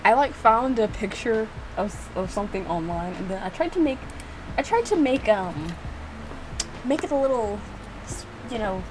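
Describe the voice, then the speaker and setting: A woman's voice: medium pitch, lively intonation, moderate pace, noisy background. A young adult woman giving casual, self-mocking reaction commentary, often repeating her phrases as she goes. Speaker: gaming reaction video creator. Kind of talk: casual reaction commentary with lines read aloud.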